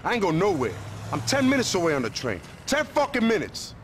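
An exasperated young man from the streets in a video game. His voice is fairly high and very animated, with slang and bits of Spanish. Light traffic ambience runs behind it. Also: fairly quick. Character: exasperated young male nightclub worker from the streets. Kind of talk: video game cutscene acting.

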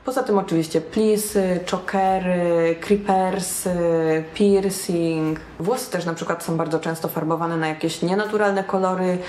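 A young woman talking to camera about alternative fashion, chatty and self-mocking, recorded in a small bright room. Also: fairly low pitch, fairly even intonation, unhurried, a little hiss or hum. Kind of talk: casual talk to camera. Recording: roomy echo.

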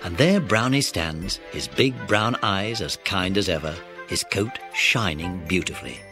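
Guy narrating a kids story, very expressive, close dry studio sound, soft music. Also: low voice, measured pace. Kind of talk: scripted children's story narration.

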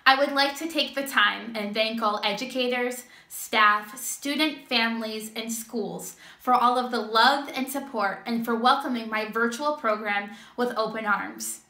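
A woman's voice: fairly high pitch, measured pace, few pauses, sharp tone. A seventeen-year-old American woman giving earnest prepared remarks about her youth program, recorded indoors at home. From Connecticut, United States. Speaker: youth reading program presenter. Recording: roomy home video sound, faint music.

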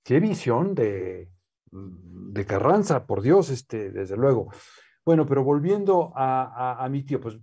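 A man with a Mexican accent in a relaxed seated interview, talking slowly with many fillers and restarts. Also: mid-range voice, vocal fry, slightly rough, highs cut off. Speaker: guest, poet's nephew and scholar. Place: living room with bookshelves, seated.

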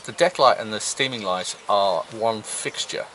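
A middle-aged man hosting a sailing vlog, talking in a relaxed, matter-of-fact way outdoors in a boatyard and narrating voice-overs. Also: on the low side, moderate pace, slight background noise, poor audio. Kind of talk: casual chat and voice-over narration.

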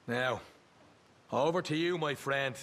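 An actor playing a cheeky, chatty young Irish gang rogue in a video game, talking in a jovial, rambling, teasing way. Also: male voice, medium pitch, slow, a bit bright. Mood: amused, conspiratorial. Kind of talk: video game cutscene acting.

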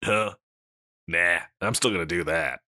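Man playing a very deep-voiced slacker catboy dude. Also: gravelly, pushed and bright, no echo, studio quality, full character voice. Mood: laid-back, teasing. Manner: slacker dude drawl, halting and mumbly. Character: scruffy, insecure older slacker male catboy pet. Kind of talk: comedic audio roleplay addressed to the listener.

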